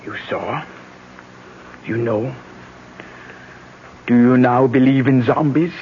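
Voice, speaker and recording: An actor playing a grave older island aunt, solemn and ominous, in a radio drama style. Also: male voice, medium pitch, slow pace, close to an everyday voice. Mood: grave, accusing. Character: grave older Creole aunt who believes in conjure and zombies. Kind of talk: 1970s radio drama acting. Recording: dull, noisy vintage radio recording.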